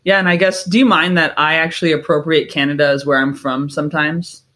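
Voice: mid-range voice, steady tone, brisk, fairly bright tone. Upbeat podcast host asking questions. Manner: upbeat, brief affirmations. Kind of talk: casual interview chat.

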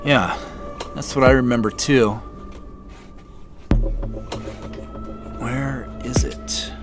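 A man's voice: medium pitch, steady tone, unhurried, over loud music, close to an everyday voice. A man acting in an audio drama as an uneasy, polite man on a tense phone call, speaking in a hesitant, understated way. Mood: puzzled.

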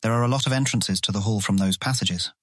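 A man's voice: lowish voice, fast, no room sound, studio quality, natural voice. An actor playing an eager, proud young man showing a guest around in a fantasy audiobook. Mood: eager, informative. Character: eager, proud young male guild guide. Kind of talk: full-cast audiobook acting.

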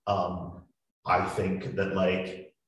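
A man on a panel talking about making audio horror fiction, halting with many fillers and restarts. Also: deep voice, completely flat delivery. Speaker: panelist, audio drama maker. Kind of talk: panel discussion. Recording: very echoey room.

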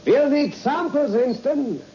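An actor playing an authoritative space mission controller in an old-time radio drama, with a formal, commanding and declamatory manner. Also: male voice, very high voice, slow pace, warm tone. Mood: intent. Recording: dull, noisy old radio recording.

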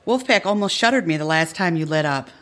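A woman's voice: fairly low pitch, animated, fast talker, close to an everyday voice. A woman playing a wry, affectionate aunt who runs a bar in an audio drama, teasing and no-nonsense. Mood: wry, firm.

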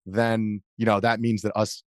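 An American man speaks as an interview guest in a fairly low voice. He explains himself earnestly and conversationally, often restarting his phrases. The recording is dry. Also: level tone, a little slow, no echo.

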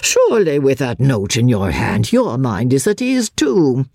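A woman voicing an elderly gentleman lawyer who narrates a classic novel in a formal, deliberate, self-assured manner. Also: extremely low pitch, sing-song, gravelly, slightly rough, no echo, studio quality, exaggerated voice. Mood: probing, courteous. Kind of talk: first-person literary narration.

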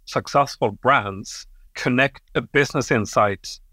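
A man from Sweden talks through his answers in a podcast interview, measured and reflective, now and then pausing on a filler. His tone is bright. The sound is close and dry. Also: medium pitch, dry recording. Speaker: guest, creative executive.

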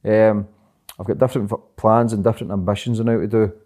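Young man, mellow dark voice, fast rambling guest talk, swears a lot. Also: on the low side, fairly even intonation. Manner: fast, rambling, swears a lot, repeats "do you know what I mean". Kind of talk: casual interview chat.

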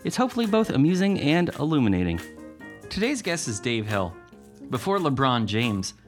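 Male podcast host, jokey, bouncy sing-song delivery, studio mic, soft music underneath. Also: mid-range voice. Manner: playful, lively, jokey framing. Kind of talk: scripted intro then casual interview questions. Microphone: studio microphone with headphones.

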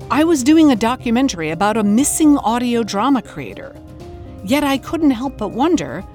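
A woman's voice: fairly high pitch, darkish tone. An actress playing a wry, incredulous woman hosting a scripted investigative documentary podcast, with a dramatic storytelling manner. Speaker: host.

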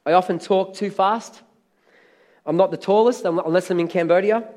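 A middle-aged man preaches a sermon, his voice worn hoarse and crackly. He speaks in a fairly high register, and he drives his points home with energetic, emphatic repetition. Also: moderate pace. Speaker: pastor.

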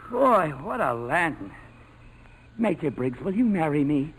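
Cocky comic sidekick, high voice, swooping slangy delivery, vintage radio play. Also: male voice, sing-song, a little slow. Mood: wisecracking. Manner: slangy, clowning, sarcastic. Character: brash, wisecracking, girl-chasing airman sidekick. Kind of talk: 1940s radio drama acting. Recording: noisy old 1940s radio broadcast.

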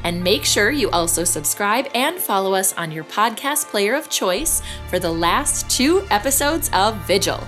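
A woman's voice: medium pitch, lively intonation, a little slow, hardly any pauses, fairly bright tone, soft background music. A woman narrating a podcast in a scripted, wry, confiding manner.

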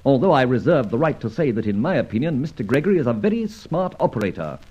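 A man playing a suave, wry gentleman adventurer in an old radio drama, urbane and wordy, with a drily witty manner. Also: mid-range voice, big pitch swings, fast, husky. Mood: concerned, courteous. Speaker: narrator and lead actor. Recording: dull old radio recording.

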